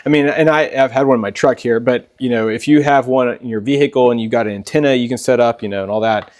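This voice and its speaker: A man's voice: mid-range voice, fast, darkish tone. A man hosting a casual interview, talking informally and often repeating or echoing short phrases. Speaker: host.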